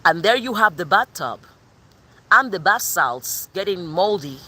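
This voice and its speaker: Bright-voiced woman giving a tarot reading. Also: fairly low pitch, expressive, a little slow, slightly rough. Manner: loose, colloquial, talks straight to the listener. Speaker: tarot reader. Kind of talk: spiritual collective reading, casual talk.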